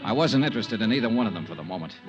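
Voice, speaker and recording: An actor playing a tough, wry insurance investigator in a 1950s radio drama, clipped and sardonic. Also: male voice, fairly low pitch, expressive, racing, close to an everyday voice. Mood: matter-of-fact narrating. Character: tough, wry male insurance investigator, cool under threat. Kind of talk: 1950s radio detective drama acting with first-person narration. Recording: muffled 1950s radio recording, music.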